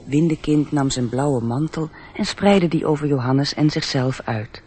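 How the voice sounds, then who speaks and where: An actor playing a calm radio-play narrator, reading gently and in a measured way over faint noise. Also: male voice, on the high side, close to an everyday voice. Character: calm male storybook narrator. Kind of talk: scripted narration in a radio drama.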